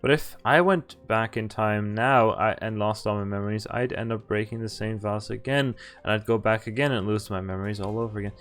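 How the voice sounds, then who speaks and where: A young man with a slightly low voice streams a game. He reads the text aloud informally, sometimes stumbling and rereading, and adds casual commentary. Faint music plays underneath. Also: fairly even intonation, brisk, few pauses, fairly bright tone. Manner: informal, stumbles and rereads while sight-reading.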